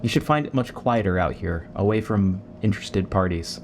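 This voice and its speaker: A man's voice: on the low side, animated, normal speed, slight background noise. A man acting as a driven, guarded human commander in a sci-fi audio drama, terse and authoritative. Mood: resolved. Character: driven, guarded human military commander obsessed with alien artifacts. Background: music.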